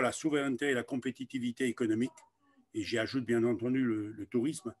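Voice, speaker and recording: A man speaks at a middle pitch, as a local official presenting to an online meeting. His delivery is deliberate and halting, with frequent pauses while he finds his words.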